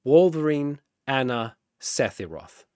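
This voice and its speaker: A man's voice: mid-range voice, lively intonation, slow, darkish tone, clean tone, no room sound, clean studio sound. A man narrating a scripted fantasy battle theatrically, often in short, clipped phrases.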